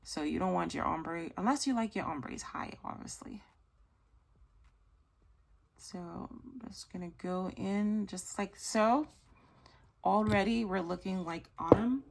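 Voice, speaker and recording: Woman, creaky voice, casual gushing tutorial chat, slightly hoarse. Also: medium pitch, slow, long pauses. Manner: chatty, gushing, self-correcting. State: slightly hoarse, losing her voice. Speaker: nail art tutorial host.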